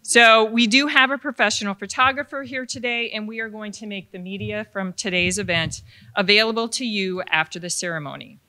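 A woman with a bright, clear voice presides over a graduation from a podium microphone in a large panelled hall. Her announcements are formal but friendly, with a light joke now and then. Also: mid-range voice, a little fast. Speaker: ceremony presenter. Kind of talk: commencement address at a podium.

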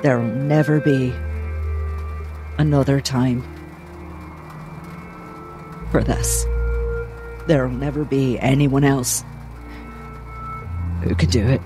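Male player as resolute, protective adventurer: earnest, unhurried delivery, medium pitch, music underneath. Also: fairly even intonation, natural voice. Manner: solemn, measured. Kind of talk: in-character tabletop roleplay.

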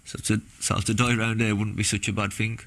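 Low-voiced young British man, former soldier. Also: little pitch movement, brisk, a bit bright, slight background noise. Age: young adult. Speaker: former soldier giving testimony. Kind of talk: documentary interview, personal recollection. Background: background music.

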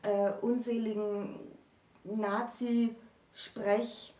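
A woman in her thirties explaining literature in an articulate, emphatic way, in deliberate phrases. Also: on the high side, level tone, mellow. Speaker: presenter of a literature explainer series. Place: small indoor room with bookshelves. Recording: roomy, distant microphone.

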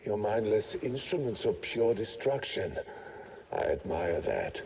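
A destruction-loving raider in a video game. The voice is dark and lowish, with lively swings and a wry slyness. Long reverb trails it. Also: male voice, unhurried, vocal fry, a little hiss or hum, full character voice. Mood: sly, intrigued. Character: sly, amused raider who admires destruction.

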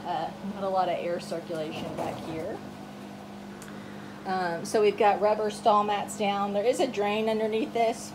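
A woman's voice: mid-range voice, noisy background. A woman narrating a casual walkthrough video tour as a farm owner, recorded on a handheld phone in a wooden barn. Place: wooden goat barn with open doors. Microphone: handheld phone, behind the camera.

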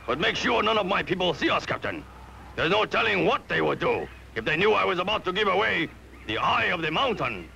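High, gravelly cartoon island chief. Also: male voice, big pitch swings, a little fast, bright, forceful tone, highs cut off, slight background noise, exaggerated voice. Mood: anxious bluster. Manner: blustering, emphatic, slangy. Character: excitable island chief, a protective blustering father. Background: faint background music.

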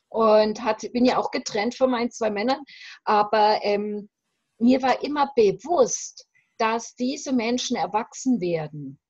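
A woman in her fifties from West Germany, talking emphatically and haltingly as a coach being interviewed on a video call. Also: a little high, darkish tone, slightly rough, no echo. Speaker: separation coach, interview guest. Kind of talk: reflective interview conversation. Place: room at home. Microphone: video call, computer microphone. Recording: muffled video-call audio.

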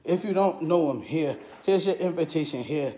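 A man's voice: a little high, lively intonation, moderate pace, dark tone. A man preaching and praying in a rhythmic, chant-like flow of short repeated phrases. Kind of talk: rhythmic prayer and exhortation.

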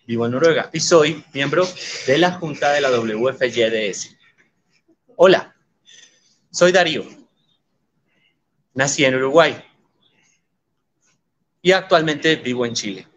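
A man voicing guests' introductions in live interpretation, even and measured. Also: fairly high pitch. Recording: slightly muffled audio.

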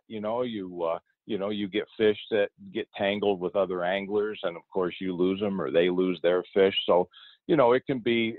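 Deep-voiced fishing guide on a tinny line. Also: male voice, dry recording. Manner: easygoing, repeats words and restarts often. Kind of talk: casual interview answers. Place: outdoors in a boat on the water.

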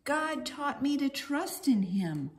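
A woman's voice: high-pitched, sing-song, takes it slowly, voice pushed up, heavily acted. A woman voicing a brave, trusting little shepherd boy in a storybook, declaiming earnestly. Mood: confident, earnest.

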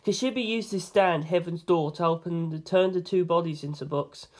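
A reader narrating a novel aloud in the first person, expressive and informal. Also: medium pitch, moderate pace. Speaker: amateur audiobook reader.

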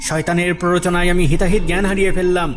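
A destitute, remorseful man, acted by a male performer tells his tale in a cartoon drama. The voice is high for a man and earnest, with a pleading tone. Soft music runs underneath. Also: little pitch movement, fast talker, close to an everyday voice. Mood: sorrowful recounting. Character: poor, starving, remorseful man confessing to murder.

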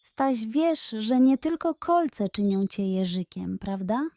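A man's voice: high voice, animated, takes it slowly, dark tone, no echo, exaggerated voice. A woman voicing a playful, wise male fox who teases and reassures in a children's bedtime story. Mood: reassuring. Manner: teasing yet reassuring.

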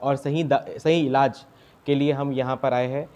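A young man, a doctor answering interview questions haltingly, with broken starts and English medical terms. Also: medium pitch, fairly even intonation, darkish tone. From India. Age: young adult. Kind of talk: interview answers at a health camp. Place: small clinic room, indoors. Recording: dull lo-fi sound.

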